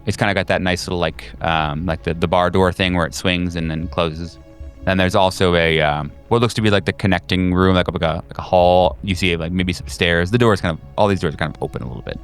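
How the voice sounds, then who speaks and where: Low-voiced man narrating a tabletop game. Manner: halting, descriptive, thinking aloud. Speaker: game master. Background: background music.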